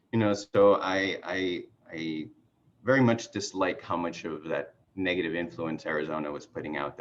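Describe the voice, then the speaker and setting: A middle-aged man from Mexico, whose was not English, giving a halting, filler-heavy self-introduction over a video call. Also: low voice, highs cut off, slight background noise. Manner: rambling, halting with many fillers and restarts. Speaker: party chair candidate. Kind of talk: casual conversational self-introduction.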